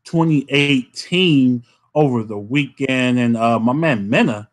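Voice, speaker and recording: A man hosting a casual podcast, talking loosely and ending nearly every phrase with "man" as he shouts out players. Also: medium pitch, a little slow. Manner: laid-back, says "man" constantly, shouting out players. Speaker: podcast host.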